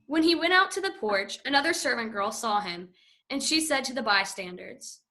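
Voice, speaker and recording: Woman narrator, church reading; bright, slightly high, steady. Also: moderate pace. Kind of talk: liturgical scripture narration.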